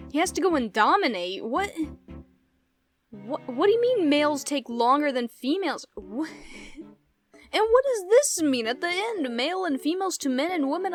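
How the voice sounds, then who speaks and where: A woman in her twenties rambling through a casual reaction vlog. Her voice sits a bit on the higher side, and she keeps breaking into giggles and self-deprecating asides. A faint bed of music plays underneath. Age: 20s. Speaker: YouTuber.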